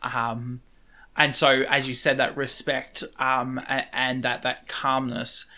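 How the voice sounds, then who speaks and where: A woman's voice: rumbling low, flat delivery, slow, sharp tone. A woman interviewing a group of guests, asking rambling, encouraging questions full of fillers. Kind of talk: casual interview.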